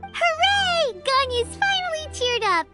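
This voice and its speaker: A woman voicing a tiny, excitable childlike fairy companion in an anime-style video game, squeaky and exclamatory. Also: takes it slowly, over loud music, heavily acted. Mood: excited. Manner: exclamatory, refers to self by name.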